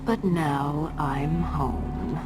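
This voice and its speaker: A menacing witch empress from a video game. She speaks very slowly in a lowish register, regal and theatrical, with cold sweetness. Music plays clearly behind her. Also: steady tone. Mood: regal, smug. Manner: imperious, deliberate, theatrical. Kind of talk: dramatic video game acting.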